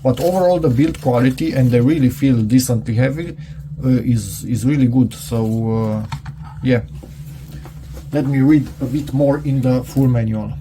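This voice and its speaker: A man thinking aloud in a casual, unscripted hands-on product review, halting and muttering to himself as he goes. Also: medium pitch, dark tone, slight background noise.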